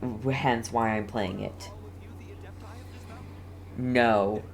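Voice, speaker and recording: A video game streamer giving casual live commentary and chatting with viewers, halting and often repeating words. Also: medium pitch, fairly even intonation, creaky.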